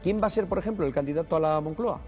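Guy in his 30s hosting a political interview, talks fast, bit husky, faint music. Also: a little high, warm tone. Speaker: television interviewer, host. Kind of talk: brisk political interview questioning. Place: television studio.

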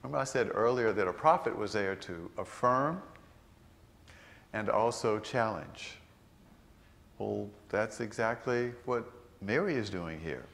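An older man, a priest, delivering a sermon in a large church, slowing down to stress key phrases. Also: lowish voice, expressive, gravelly, a bit bright. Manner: unhurried, earnest preaching with slowed emphatic phrases.

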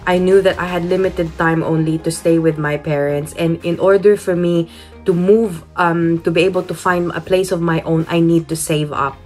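A woman in her thirties with a Filipino accent talks straight to a close camera in a small wood-panelled room. Her voice sits fairly low, and she speaks candidly and earnestly, restarting her sentences often. Soft instrumental music plays faintly underneath. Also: steady tone, normal speed. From Philippines. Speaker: vlogger. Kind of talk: personal storytelling vlog, switching between English and Tagalog. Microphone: camera at close range, facing it.

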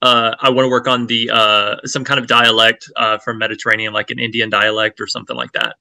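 A man's voice: mid-range voice, little pitch movement, fairly quick, creaky, sharp tone, dry recording. A man from Texas with a Southern accent, an actor guest talking casually on a video call, hesitant with many fillers and restarts. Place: home room with shelves, indoors. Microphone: webcam on a video call.

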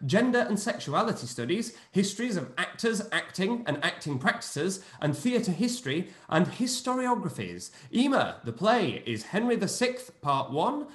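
Lively high-voiced male host on a call. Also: echoey room. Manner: brisk, announcing names in a lively sing-song. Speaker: host and producer. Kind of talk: reading cast introductions on a video call. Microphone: video call.

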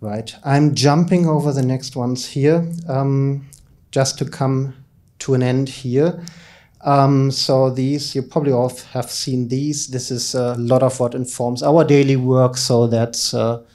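Mellow-voiced man giving a conference talk. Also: mid-range voice, steady tone, takes it slowly. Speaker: keynote speaker, academic researcher. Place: conference lecture room, indoors, at a lectern. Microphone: handheld microphone.

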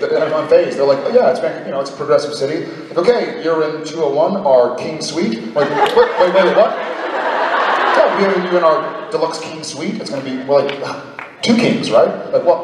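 A young man on a convention stage, telling a rambling, animated anecdote into a handheld mic, recorded from the audience. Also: fairly high pitch, moderate pace, background noise. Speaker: convention panelist. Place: large convention hall with a crowd. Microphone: handheld mic, recorded by a far camera. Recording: echoey hall recorded from far off.

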